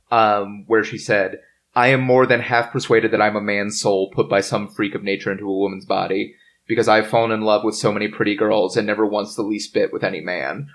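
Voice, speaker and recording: A man hosting a casual podcast discussion, speaking informally and haltingly as he works through his notes. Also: lowish voice. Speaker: podcast host. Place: in-person recording around a table.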